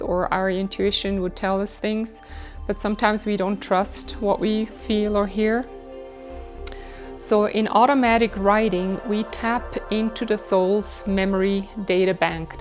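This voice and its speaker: A woman from Switzerland, a medium calmly and steadily explaining her work in a documentary interview. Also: medium pitch. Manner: calm, explanatory, measured. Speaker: automatic writing medium.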